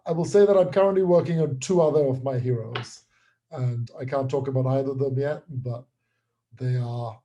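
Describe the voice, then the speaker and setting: A man with a dark, mellow voice at a medium pitch speaks as an interview guest. He talks casually and conversationally, as a creator discussing his work. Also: moderate pace, lo-fi sound.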